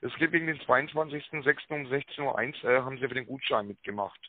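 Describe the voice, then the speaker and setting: A man giving a rehearsed telephone sales pitch, full of tag words and hesitations, with a raspy voice. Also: fast, dry recording. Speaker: telemarketing caller pitching a prize draw. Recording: narrow tinny phone line.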